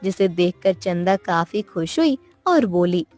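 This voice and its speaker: A woman telling a scripted moral story as an expressive narrator. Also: fairly low pitch, unhurried, no room sound. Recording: music behind the voice.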